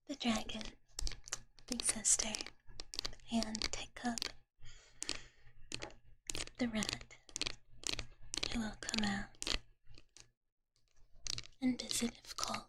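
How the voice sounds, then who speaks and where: A woman hosting a live ASMR stream, speaking to her chat in a soft, breathy, mostly unvoiced whisper with a gentle, reassuring manner. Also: a little high, heavy background noise. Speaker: livestream ASMR host.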